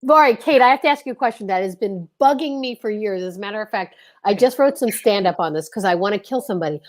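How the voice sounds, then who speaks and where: A woman's voice: mid-range voice, animated, quick pace. An American woman hosting a casual chat, talking in an effusive, emphatic way. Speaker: host.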